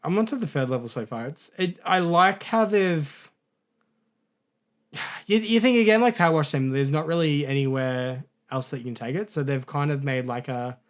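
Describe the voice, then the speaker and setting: A man's voice: fairly high pitch, normal speed. A man co-hosting a gaming podcast, rambling through a story in a casual way, correcting himself and laughing at his own mishaps. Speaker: podcast co-host.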